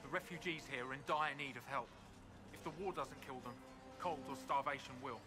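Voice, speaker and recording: An actor playing a weary, dutiful corporal in a fantasy game, plain-spoken and a little wry. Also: male voice, medium pitch, brisk, loud music behind the voice, close to an everyday voice. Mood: weary, concerned. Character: weary, dutiful male army corporal managing refugee aid. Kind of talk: scripted fantasy game dialogue.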